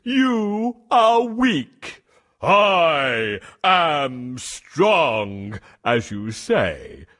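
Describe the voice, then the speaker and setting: A man voicing a proud and mighty male lion, king of the beasts, speaking slowly and grandly. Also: very animated, fairly bright tone, exaggerated voice. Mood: scornful. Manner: booming, slow and grand.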